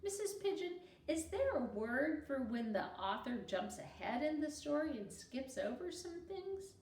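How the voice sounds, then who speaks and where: Woman as emphatic, bossy little schoolgirl, slow-paced. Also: high-pitched, sing-song, a little mellow, recorded from a distance, pitched above the performer's own voice. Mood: self-assured. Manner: theatrical, emphatic, self-assured. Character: confident, dramatic little schoolgirl storyteller, bossy and exasperated. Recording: roomy echo, faint background noise.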